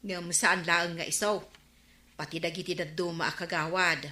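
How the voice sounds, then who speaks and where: A woman with an Ilocano accent playing a gossiping villager who gives grave warnings in a radio drama, deliberate and emphatic. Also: fairly low pitch, level tone, bright, forceful tone. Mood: grave, confiding. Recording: roomy echo.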